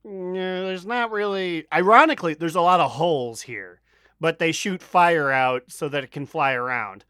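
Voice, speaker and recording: Smooth, higher-pitched man bantering casually. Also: moderate pace, pushed and bright, no room sound, clean studio sound. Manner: bawdy, joking. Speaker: podcast co-host.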